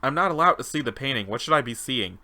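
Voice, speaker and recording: A man voicing a calm, clinical doctor who speaks formally and politely while running an experiment in a dramatized interview log. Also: medium pitch, fast. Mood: detached, probing.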